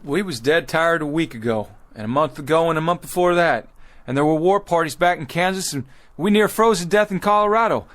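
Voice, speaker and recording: A man's voice: a little high, fairly quick, hardly any pauses, fairly bright tone, natural voice. An actor playing a desperate pioneer wagon leader who pleads with his people in an urgent, stammering way.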